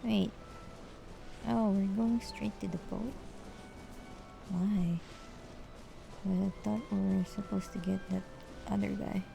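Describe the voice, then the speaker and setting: A woman's voice: medium pitch, fairly even intonation, slow, vocal fry, pauses often, mellow, soft background music. A woman streaming a game, giving casual, hesitant, musing commentary as she plays. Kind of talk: casual live gameplay commentary.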